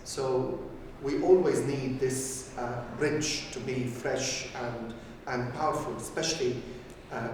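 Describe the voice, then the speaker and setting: A man from Syria introduces himself as a foundation director, earnest and measured. He sounds distant in a reverberant room. Steady background hiss runs throughout. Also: medium pitch. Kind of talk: self-introduction. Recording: echoey room from far off with noise.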